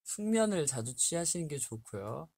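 A man's voice: fairly high pitch, very animated, moderate pace, darkish tone, no echo. A young man in his twenties chatting casually on a livestream, reading health tips aloud and laughing often, in a small bare room. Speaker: livestream host. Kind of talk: casual live chat with fans. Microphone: webcam at desk distance.

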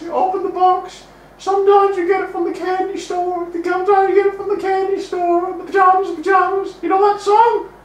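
A man voicing a silly high-voiced guest who rambles absurd nonsense and swears. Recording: echoey room, distant mic.

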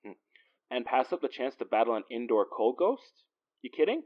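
A man plays a confident police detective who talks in dry wisecracks. His voice sits at an ordinary male pitch, with a teasing, natural audio drama delivery. The recording is a little dull. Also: big pitch swings, normal speed.

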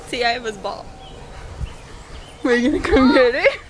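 A young woman vlogging casually at home in a playful, excitable way, speaking into a handheld camera. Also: high voice, normal speed. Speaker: vlogger filming. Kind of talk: casual home vlog chat. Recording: noisy handheld camera audio.

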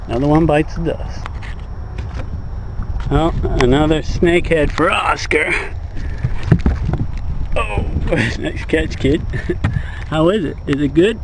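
A man in his forties talks excitedly into a close action camera while out on a small boat, cheering his dog and showing off fish. His voice sits a bit high for a man. Clear wind and water noise run behind him. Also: takes it slowly, pauses often. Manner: excited, chatty, praising the dog with laughs. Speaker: fishing vlogger. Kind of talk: casual outdoor commentary to camera and dog. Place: small fishing boat on open water outdoors. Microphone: action camera at close range.

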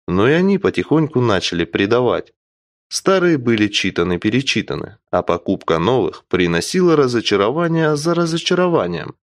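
Male narrator, audiobook storytelling, lowish pitch, animated melody, dry close recording, wry delivery. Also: dry recording. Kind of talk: expressive first-person audiobook narration.

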